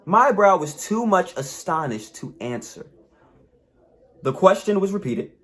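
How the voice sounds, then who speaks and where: A man reading a folktale aloud as a storyteller, with measured, dramatic phrasing and pauses. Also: high voice, big pitch swings, a bit bright. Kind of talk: expressive scripted story reading.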